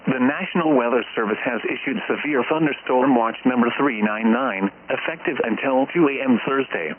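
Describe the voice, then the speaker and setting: A man's voice: mid-range voice, very noisy. Muffled synthetic male weather radio announcer. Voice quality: synthetic text-to-speech voice. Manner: stiff, measured, impersonal reading. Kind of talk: formal scripted weather alert bulletin.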